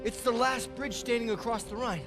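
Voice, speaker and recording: An actor playing an earnest young soldier, explaining orders plainly. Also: male voice, very high-pitched, normal speed, over music. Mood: matter-of-fact.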